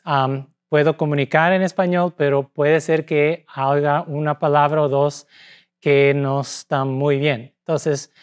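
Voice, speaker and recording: A man from Portugal preaching a sermon in Spanish with a Portuguese accent, his second language, speaking deliberately and pausing between phrases. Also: on the high side. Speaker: pastor.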